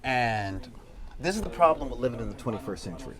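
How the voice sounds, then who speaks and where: A man's voice: medium pitch, lively intonation, fast talker. A man on a convention panel, giving strong opinions in a casual discussion and calling himself the voice of dissent. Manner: opinionated, argumentative, repeats himself. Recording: outdoor convention crowd noise. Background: crowd chatter.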